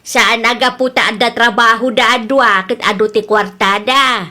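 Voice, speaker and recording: A woman's voice: a little high, fairly even intonation, normal speed, pushed and bright. An actress with an Ilocano Filipino accent playing a practical, sceptical mother in a comedy radio drama.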